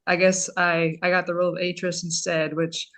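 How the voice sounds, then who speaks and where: Low-voiced woman in casual interview. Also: level tone, normal speed, fairly bright tone. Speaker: guest, amateur voice actor.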